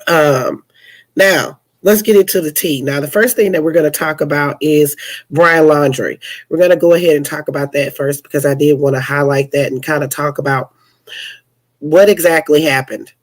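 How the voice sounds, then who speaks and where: A woman's voice: low voice, steady tone, moderate pace. A young woman hosting a news podcast, chatty and sassy, calling her listeners honey and sounding stuffy from a cold. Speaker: podcast host and news commentator. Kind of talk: casual news commentary podcast.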